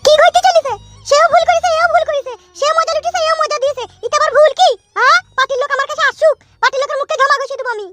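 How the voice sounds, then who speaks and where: A woman voicing a brazen, argumentative young cartoon wife with a rural West Bengal Bengali accent and a sharp tongue. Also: extremely high pitch, rapid-fire, hardly any pauses, no room sound, faint music behind. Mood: indignant. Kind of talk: comic cartoon dubbing.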